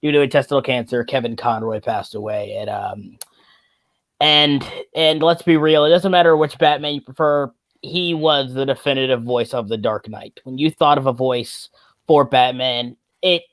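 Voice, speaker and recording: A man's voice: medium pitch, moderate pace, fairly bright tone. A man hosting a casual group chat, with a teasing, jokey way of talking. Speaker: host.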